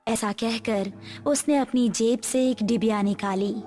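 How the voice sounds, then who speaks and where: A woman's voice: on the high side, a little slow, music in the background. A woman reading a scripted horror story as its narrator.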